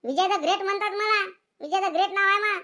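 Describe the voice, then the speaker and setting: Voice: extremely high pitch, normal speed, sharp tone. A performer voicing a bratty, defiant village schoolboy in a comedic cartoon, whining and boasting in rural Maharashtrian Marathi. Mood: boastful threats. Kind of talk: comedic cartoon skit dialogue.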